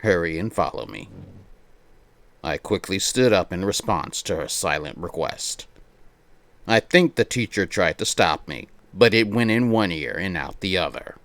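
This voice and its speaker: A man with a fairly low voice reads a visual novel aloud on a livestream. His delivery swings widely in pitch, theatrical and playful, moving between narration and banter. The sound is close and dry, with little room in it. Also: animated, a little slow, a bit bright, no echo.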